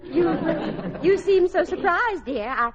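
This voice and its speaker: A woman's voice: very high voice, animated, slow, crowd noise, exaggerated voice. An actress playing a dotty, cheerful older mother in a radio comedy, fluttery and exclaiming. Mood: coy, flustered. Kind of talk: 1940s radio comedy acting. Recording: dull, noisy old radio recording.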